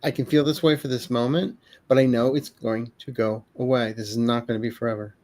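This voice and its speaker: Emphatic male co-host, middle pitch. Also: fairly even intonation, fairly quick, a little mellow. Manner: emphatic, encouraging, preachy. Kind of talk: casual livestream chat.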